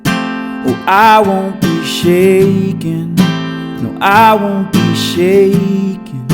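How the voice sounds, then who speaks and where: Woman singing worship over prominent guitar music. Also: sits high. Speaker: worship singer. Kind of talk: sung worship song with guitar.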